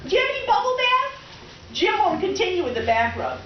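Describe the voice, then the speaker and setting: A woman plays a brazen middle-aged flirt in a stage comedy, heard from a distance in a roomy space. Her very high voice swoops wildly as she coos and suggests with theatrical excess. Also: big pitch swings, fairly quick. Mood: coy, insistent. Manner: cooing, suggestive, over-dramatic moaning. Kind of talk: comic readers theater acting. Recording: roomy, distant, dull, faintly noisy stage recording.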